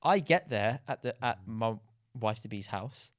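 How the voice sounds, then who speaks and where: A man's voice: fairly low pitch, measured pace. A man from England, a podcast guest telling stories casually and acting out quoted dialogue. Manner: animated, acts out quoted dialogue. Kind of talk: casual storytelling.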